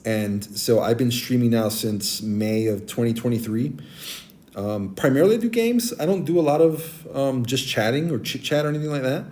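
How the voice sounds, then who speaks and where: A man in his forties with a naturally deep voice, chatting casually with stream viewers and giving friendly advice, with many ums and restarts. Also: normal speed, darkish tone. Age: 40s. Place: abroad, streaming on a tablet. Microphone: tablet mic.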